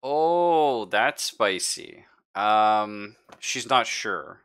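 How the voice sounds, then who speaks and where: Slow, bright-voiced man running a game. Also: on the low side, big pitch swings, smooth. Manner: informal, sweary, teasing. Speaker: game master. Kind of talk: casual tabletop game narration.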